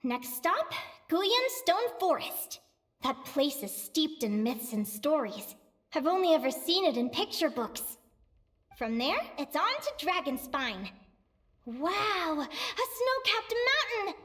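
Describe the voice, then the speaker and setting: A woman's voice: extremely high pitch, big pitch swings, slow, fairly bright tone, heavily acted. A woman voicing an eager, dreamy young female adventurer in a video game, bubbly and wide-eyed as she talks to herself. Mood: curious, delighted. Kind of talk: video game voice acting, monologue.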